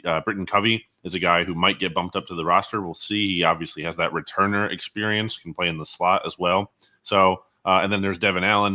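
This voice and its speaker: A man's voice: low voice, pushed and bright. A man giving analysis as a guest on a sports interview show.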